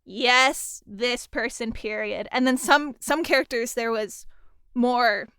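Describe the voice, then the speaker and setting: A woman's voice: a little high, slow pace, fairly bright tone, smooth, no room sound, clean studio sound. A woman interviewing a fellow producer in a casual, friendly way, reminiscing about the work they shared.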